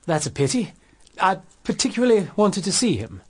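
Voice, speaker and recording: An actor playing a polite, devoted British gentleman suitor in a period radio drama, courteous and slightly hesitant. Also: sits high, very animated, normal speed, breathy edge, heavily acted.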